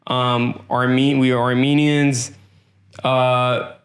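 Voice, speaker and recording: A man's voice: medium pitch, little pitch movement, drawn-out words. Earnest interviewer man from Iran. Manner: earnest, affirming, repeats himself. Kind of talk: casual interview chat.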